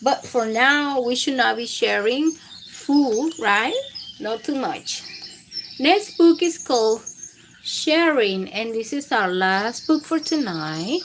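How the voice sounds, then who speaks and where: A woman's voice: fairly high pitch, animated, takes it slowly, slight background noise. A woman hosting a storytime, reading aloud to young children in simple phrasing and putting questions to her listeners. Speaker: children's storytime host and teacher.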